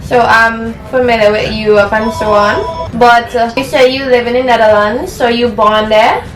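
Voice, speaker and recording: A woman's voice: on the high side, normal speed, noisy background. A young woman with a Vincentian accent, interviewing a guest over a casual call. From Saint Vincent and the Grenadines. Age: young adult. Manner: relaxed, chatty, asks questions plainly. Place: at work. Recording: echoey room, distant from mic, voices around.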